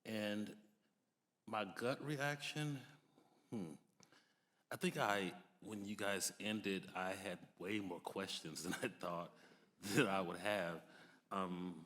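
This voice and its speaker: Lowish-voiced young man in an echoey hall. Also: slow pace, long pauses, fairly bright tone. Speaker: divinity student, discussion participant. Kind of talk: conversational reflection at a public discussion. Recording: echoey hall with long reverb.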